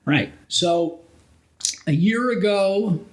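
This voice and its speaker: Rambling New Jersey man, higher-pitched voice. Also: drawn-out words. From New Jersey, USA. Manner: rambling, backtracking. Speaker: podcast co-host and storyteller. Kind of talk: casual anecdotal chat.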